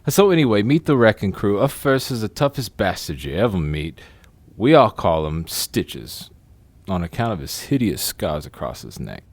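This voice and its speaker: An actor playing a brash, wisecracking crime boss with slangy, bossy street talk in an audio drama. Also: male voice, on the low side, big pitch swings, moderate pace, no room sound. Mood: showy, jovial.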